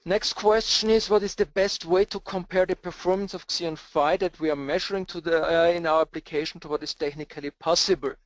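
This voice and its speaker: A man's voice: a little high, fairly even intonation, fairly quick, few pauses, no echo. Hesitant Austrian man in a lo-fi webinar. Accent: Austrian German. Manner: hesitant, many filler sounds. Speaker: presenter, cluster architect. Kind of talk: webinar Q&A answers. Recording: dull lo-fi webinar audio, dry.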